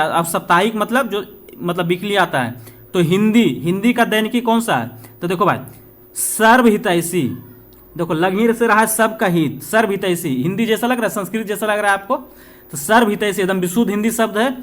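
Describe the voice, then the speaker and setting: A man's voice: sits high, a little fast, a bit bright. A man from India, teaching exam revision informally, repeating points and answering his own questions, with chatty check-ins. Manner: repetitive, asks questions then answers them, chatty check-ins with listeners.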